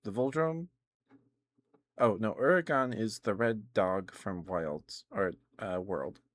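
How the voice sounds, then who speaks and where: A man's voice: lowish voice, slow pace, a bit bright, dry recording. A man live streaming casual, rambling ASMR chat about games, often hesitating and correcting himself.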